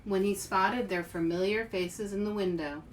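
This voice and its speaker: A woman hosting a children's read-aloud, expressive and speaking directly to young listeners. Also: medium pitch, normal speed, poor audio. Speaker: author and show host. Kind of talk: children's picture book read-aloud with casual asides. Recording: faint background noise.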